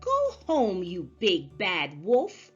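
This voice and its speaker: A woman voicing a frightened, indignant male pig in a children's storybook, curt and accusing as he yells. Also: lowish voice, huge pitch swings, very slow, slightly rough, lo-fi sound, faint music behind, heavily acted. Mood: dismissive.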